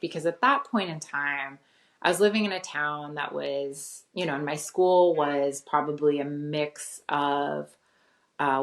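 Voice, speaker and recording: A middle-aged woman from Costa Rica, raised in the US, answering interview questions in a thoughtful way with many fillers and restarts. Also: sits low. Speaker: guest, theology professor. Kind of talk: conversational interview.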